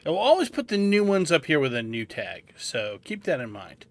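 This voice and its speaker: A man's voice: on the high side, big pitch swings, normal speed. A man hosting a video game guide, explaining things informally as he plays. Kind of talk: casual tutorial commentary over gameplay. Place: indoors, gameplay voice-over recording.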